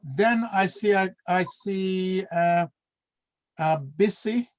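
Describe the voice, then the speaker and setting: A man's voice: high-pitched, slow. Easygoing man hosting an online meeting. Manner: easygoing, calls on each person by name. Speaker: meeting host. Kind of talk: online meeting roll call. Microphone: video call.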